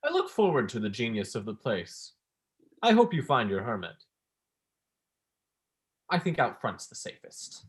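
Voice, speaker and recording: A man's voice: mid-range voice, wildly swooping pitch, measured pace, long pauses. A man playing a flippant, self-centred academic in a staged play reading, glib and dismissive. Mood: wry. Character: Bernard, flippant, self-centred male academic making his escape.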